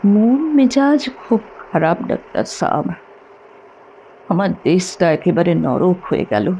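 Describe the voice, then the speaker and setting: A woman's voice: mid-range voice, mellow, highs cut off, a little hiss or hum, natural voice. A woman voicing a troubled, loyal village man, aggrieved and deferential, confiding in dialogue from an audio story. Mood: troubled, indignant.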